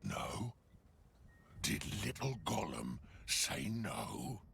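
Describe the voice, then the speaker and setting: A man playing a huge menacing orc bully in a video game, with a gravelly, growling, taunting voice. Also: lowish voice, sing-song, a bit bright, a little hiss or hum, exaggerated voice. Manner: slow, taunting. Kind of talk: video game villain acting.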